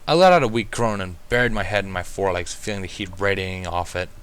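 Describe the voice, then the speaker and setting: A man's voice: low-pitched, quick pace, clear, resonant tone. A man narrating a first-person fan fiction story as a reader.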